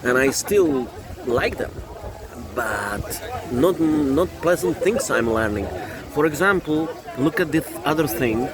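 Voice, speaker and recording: A man from the Republic, giving a halting, opinionated vlog monologue, often restarting and repeating phrases. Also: a little high, expressive, a crowd in the background. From Czech Republic. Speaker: vlogger.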